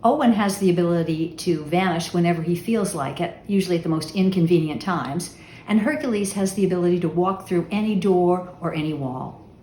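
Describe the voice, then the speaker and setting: A woman with a slightly low voice gives a short, prepared trivia message as an author. The room echoes clearly around her. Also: fairly quick, mellow. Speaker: mystery author. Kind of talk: brief scripted trivia message. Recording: echoey room with faint noise.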